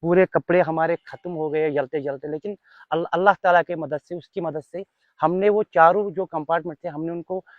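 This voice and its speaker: A man's voice: on the high side, fairly even intonation, normal speed, no room sound. Young male, Kashmiri accent, earnest interviewee repeating phrases for emphasis; dry, slightly lo-fi recording. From Kashmir, India. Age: young adult. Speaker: volunteer being interviewed. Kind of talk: unscripted interview answers.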